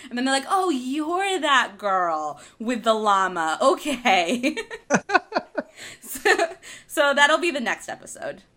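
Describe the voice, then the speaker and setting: A woman's voice: high-pitched, sing-song, slow pace, bright, forceful tone. A young woman from Argentina co-hosting a podcast in casual chat, laughing often and tripping over her words. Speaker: podcast co-host.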